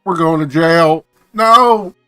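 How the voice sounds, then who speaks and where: Male streamer: lightish high voice, bright and lively, casual joking reactions, dry close mic with faint music. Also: slow pace. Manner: playful, joking, animated reactions. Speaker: game streamer. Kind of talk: casual livestream commentary.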